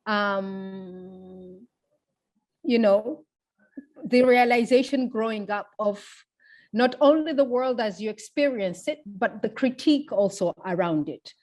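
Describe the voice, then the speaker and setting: Tanzanian lady being interviewed, thoughtful and measured, explains things carefully as she goes. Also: medium pitch, a little mellow. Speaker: interviewee, feminist public intellectual. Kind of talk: reflective oral history interview.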